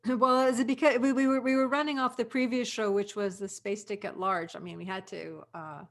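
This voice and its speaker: A woman's voice: a little high, fairly even intonation, brisk. A woman hosting a casual cast discussion, with a joking, teasing way of talking. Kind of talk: casual chat.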